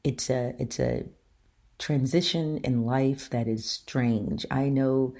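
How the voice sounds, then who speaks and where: Deep-voiced woman hosting her talk show. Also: slow pace, mellow. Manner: earnest, emphatic, rhetorical questions to listeners. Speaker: show host. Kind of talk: solo opinion talk.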